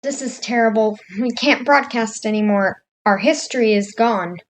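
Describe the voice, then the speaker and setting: A woman's voice: fairly high pitch, moderate pace, lightly acted, low-quality recording. A woman playing a distraught, despairing broadcaster in amateur audio drama dialogue. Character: distraught, despairing female broadcaster in a collapsing nation.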